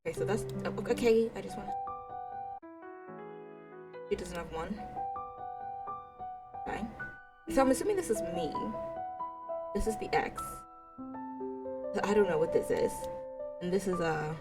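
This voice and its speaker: Female let's-play streamer, mid-pitched, informal and playful, self-deprecating, reading and commenting over faint music. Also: a little slow, long pauses. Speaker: gaming streamer. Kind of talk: casual let's-play commentary, reading a visual novel aloud.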